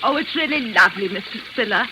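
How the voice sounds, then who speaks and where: A woman's voice: medium pitch, expressive, fast talker, natural voice. A woman playing a gushing, coy, polite lady neighbour in a 1940s radio drama. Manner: gushing, tactful. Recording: hissy, crackling 1940s radio recording.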